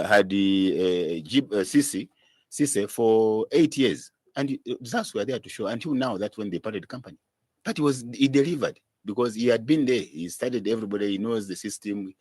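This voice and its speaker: A man's voice: on the low side, darkish tone, husky, no room sound. A man with a Zambian accent giving opinionated, spontaneous interview answers, stressing points by repeating himself. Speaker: football commentator being interviewed.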